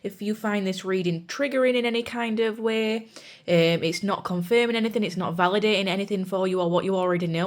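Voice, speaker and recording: A young woman from the UK, a tarot reader with a sore throat and a voice that cracks at times, giving a chatty, reassuring pick-a-card reading. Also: medium pitch. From United Kingdom. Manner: chatty and reassuring, says "you know" and "guys" a lot.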